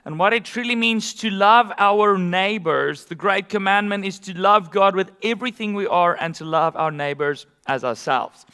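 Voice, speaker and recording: Pastor with a high voice. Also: male voice, fairly bright tone, clear, resonant tone, long reverb tail. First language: Afrikaans. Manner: conversational storytelling with asides. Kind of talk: sermon.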